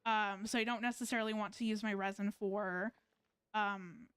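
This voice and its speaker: A woman chatting casually on a live gaming stream, haltingly thinking aloud as she plays. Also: mid-range voice, fairly even intonation, a bit bright, clean tone, dry recording. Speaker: game streamer.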